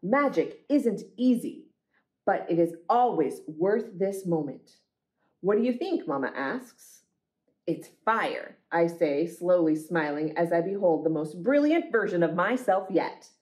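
A woman reading picture books aloud for a children's storytime, with deliberate, expressive phrasing. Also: on the low side, poor audio.

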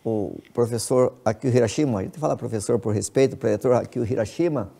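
A man's voice: mid-range voice, brisk, gravelly, dark tone. A man in his sixties with a Brazilian Portuguese accent, interviewing a guest conversationally as the host. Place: indoor TV-style studio. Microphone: lapel.

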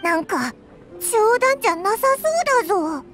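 A tiny fairy sidekick from an anime game. The voice is extremely high, squeaky and childlike, swinging excitedly in pitch over clear music. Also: female voice, normal speed. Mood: uneasy. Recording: background music.

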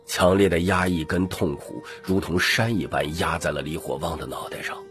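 A man's voice: deep voice, steady tone, moderate pace, a bit bright, faint music behind. A man reading dramatic scripted narration as an audiobook narrator.

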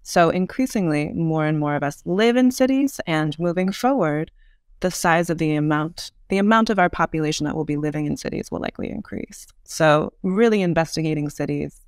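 A woman speaking as an interview guest, explaining things in an articulate, measured way. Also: low voice, clear, resonant tone, no room sound, clean studio sound. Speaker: podcast guest, urban designer.

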